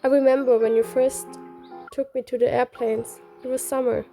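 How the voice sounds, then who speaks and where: A woman's voice: sits high, little pitch movement, moderate pace, vocal fry, mellow. A woman voicing an earnest young heroine who lightly teases her childhood friend in romance game dialogue. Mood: teasing. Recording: background music.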